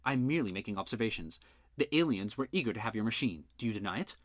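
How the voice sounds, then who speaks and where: A man voicing a prim, precise woman scientist who speaks in a measured, formal way. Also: fairly low pitch, lively intonation, no room sound, clean studio sound.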